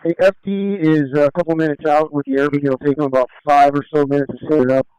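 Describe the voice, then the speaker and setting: A man's voice: fairly high pitch, steady tone, fast talker, a little mellow, clean tone, no echo. A man who is a police officer giving matter-of-fact procedural updates over lo-fi scanner radio.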